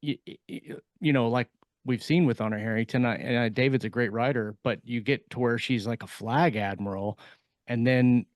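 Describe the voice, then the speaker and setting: A man co-hosting a podcast in a casual panel discussion, speaking haltingly as he thinks aloud. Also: medium pitch, steady tone, no room sound, studio quality. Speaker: podcast co-host and fiction writer.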